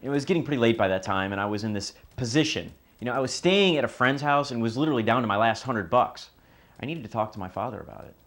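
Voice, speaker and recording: An actor playing a desperate, broke adult son defending himself in a naturalistic confession. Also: male voice, mid-range voice, animated, fast talker, fairly bright tone. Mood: frustrated.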